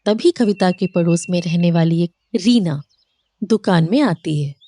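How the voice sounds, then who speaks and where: A woman's voice: on the low side, unhurried, mellow. A woman narrating a scripted moral story for children.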